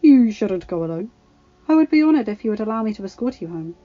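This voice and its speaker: A woman voicing a smooth, persistent male senator courting an old flame in an audio story. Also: mid-range voice, fast, muffled recording. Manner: smooth, flattering.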